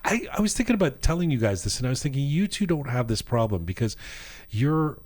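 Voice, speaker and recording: A man hosting a casual studio chat, telling travel stories in a rambling, animated way with many restarts. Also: medium pitch, fast. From Iranian heritage. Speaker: podcast host.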